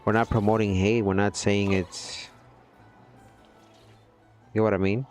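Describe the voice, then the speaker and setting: A low-pitched man talks loosely and earnestly to his livestream audience. He rambles and keeps checking in with "you know what I mean". Faint music plays under his voice. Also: little pitch movement, a little slow, darkish tone. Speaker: gaming livestreamer. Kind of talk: casual chat with viewers on a livestream.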